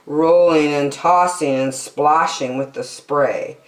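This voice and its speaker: A woman storyteller reading a children's storybook expressively, with dramatic, deliberate phrasing. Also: low voice. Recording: roomy echo.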